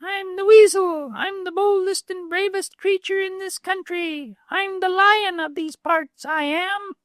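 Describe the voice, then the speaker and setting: A man voicing a bold, boastful little male weasel in a storybook reading, proud and brisk. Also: very high-pitched, steady tone, fairly bright tone, no echo, studio quality, pitched above the performer's own voice. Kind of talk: storybook read-aloud.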